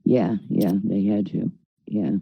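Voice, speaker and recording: A man's voice: deep voice, fairly even intonation, takes it slowly, gravelly, slightly rough, dry recording, lo-fi sound. A man on a podcast panel, chiming in with brief conversational remarks.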